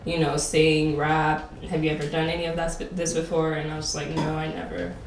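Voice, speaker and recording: A woman's voice: sits low, flat delivery, fairly quick, gravelly, recorded from a distance. A woman in her twenties from Japan, a native Japanese speaker, chatting casually as a guest. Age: 20s. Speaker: podcast guest, performer. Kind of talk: casual chat. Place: indoor bar with brick walls. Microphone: condenser mic on table, a little distant. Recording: slightly noisy, echoey room, off mic.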